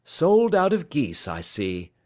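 A man voicing a cool, shrewd gentleman detective in an audiobook, with a polite, careless, lilting manner. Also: a little high, wildly swooping pitch, slow pace, pitched above the performer's own voice, exaggerated voice. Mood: polite, probing. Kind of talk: audiobook character dialogue.

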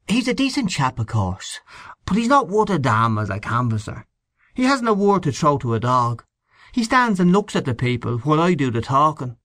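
A man's voice: on the high side, pushed and bright, no echo, studio quality, pitched above the performer's own voice. A man voicing a boastful, jovial middle-aged male political canvasser who talks in a brash, teasing way. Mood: gossipy.

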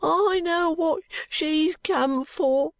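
A woman voicing a sick, frightened poor London boy in an audiobook, with a hoarse, muttering, slow drawl. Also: very high-pitched, dry recording, clean studio sound, voice pushed up, exaggerated voice. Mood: fearful, stubborn. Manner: slow, drawling uneducated dialect. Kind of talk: audiobook character voice.

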